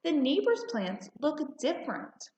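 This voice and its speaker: Woman acting eager, whiny little storybook girl, high-pitched. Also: very animated, a little slow, darkish tone, slight background noise. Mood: puzzled, whiny. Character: excitable, doubtful little girl longing for flowers. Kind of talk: children's storybook read-aloud. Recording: roomy, slightly muffled home recording.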